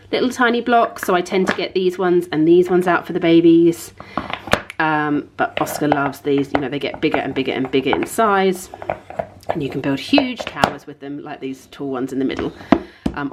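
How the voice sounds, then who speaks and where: A young woman giving a casual home tour as a parenting vlogger, chatty and informal as she explains things. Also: low voice. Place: indoors at home. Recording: clear household background noise. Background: household noise.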